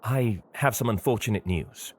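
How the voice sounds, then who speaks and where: An actor playing a formal, measured, officious British government official in an audio drama. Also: male voice, on the low side, a little mellow, breathy edge. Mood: formal, regretful.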